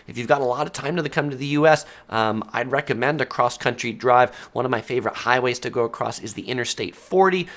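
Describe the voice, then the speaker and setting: A man's voice: mid-range voice, fairly bright tone. A man from the United States, hosting a casual live-stream talk about travel myths, chatty and full of ums and uhs. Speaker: travel video host. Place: Southern California.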